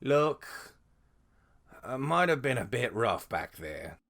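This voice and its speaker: A man's voice: mid-range voice, big pitch swings, slow, gravelly, fairly bright tone, exaggerated voice. A man playing a brash, laid-back monkey warrior in an audio fantasy drama, talking in casual slang with swearing. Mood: mellow, conciliatory. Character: brash, laid-back male monkey-like Venara fighter who likes a drink.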